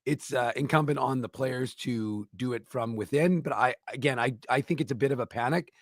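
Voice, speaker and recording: A man's voice: medium pitch, brisk, no room sound, clean studio sound. A man co-hosting a hockey talk show, giving his opinions in casual talk full of restarts and repeated words.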